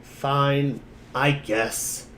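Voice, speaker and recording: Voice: mid-range voice, fairly even intonation, drawn-out words, fairly bright tone, slight background noise. A reader voicing an exasperated, whiny, childish objector in a dramatic reading. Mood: sulky.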